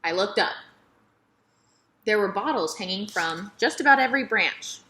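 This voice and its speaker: A woman's voice: mid-range voice, lively intonation, normal speed, pushed and bright. A woman reading a children's novel aloud as scripted first-person narration. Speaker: storybook reader.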